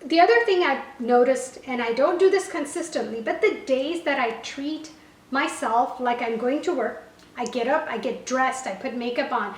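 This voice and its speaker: Gujarati-native woman teacher chatting business tips, high voice, kinda far from the mic. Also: moderate pace. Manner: conversational, frequent "you know" and self-corrections. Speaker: online language teacher and business owner. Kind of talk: casual advice talk to camera. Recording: roomy echo, a little far from the mic.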